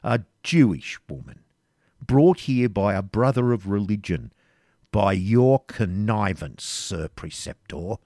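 A man's voice: lowish voice, lively intonation, warm tone, no echo, studio quality. A man putting on a severe, commanding old Grand Master. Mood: stern, accusing. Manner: severe, commanding, slow and emphatic. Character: stern, severe elderly male Grand Master of a knightly order.

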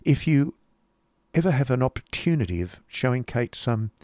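A man's voice: medium pitch, animated, moderate pace, darkish tone, dry recording, pitched above the performer's own voice, lightly acted. Man putting on a fluttery chatty lady painter. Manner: fluttery, prattling, exclamatory. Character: fussy chatty middle-aged woman miniature painter. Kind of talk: audiobook character voice.

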